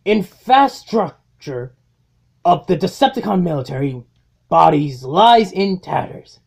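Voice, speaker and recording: A man's voice: sits high, vocal fry, a little mellow, full character voice. A man voicing a domineering robot warlord in a cartoon-style comic dub, delivering theatrical, menacing monologues full of cold mockery. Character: domineering robot warlord, male, coldly mocking his underling. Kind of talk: amateur comic dub acting.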